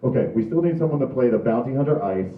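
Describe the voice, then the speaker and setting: A man's voice: medium pitch, lively intonation, quick pace, close to an everyday voice. Man playing dutiful production aide, tinny audio. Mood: concerned. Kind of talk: amateur script table read. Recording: tinny, echoey, distant mic.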